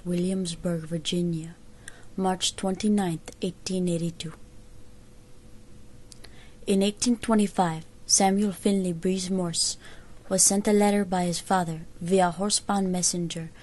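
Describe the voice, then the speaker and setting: A woman's voice: fairly low pitch, darkish tone. A woman playing an earnest army private, narrating a formal, reflective written account in an audio drama. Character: earnest female army private writing a 19th-century account.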